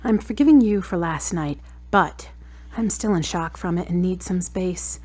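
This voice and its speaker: Husky, cool, proud woman, acted by a woman. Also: on the low side, normal speed, a little mellow. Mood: guarded, softening. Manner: cool, cutting, pointed. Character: proud, hurt girlfriend demanding a proper apology. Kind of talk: audio drama acting.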